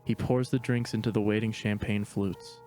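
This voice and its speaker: A man narrating stage directions in a steady, matter-of-fact reading voice. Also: fairly low pitch, normal speed, soft background music. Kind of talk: plain read-aloud narration.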